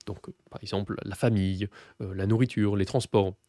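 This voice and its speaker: Dry-sounding French teacher explaining. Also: male voice, fairly low pitch, fairly even intonation, brisk, dark tone, no echo. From France. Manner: explanatory, many fillers and restarts. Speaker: Russian language teacher. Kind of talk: course presentation to camera.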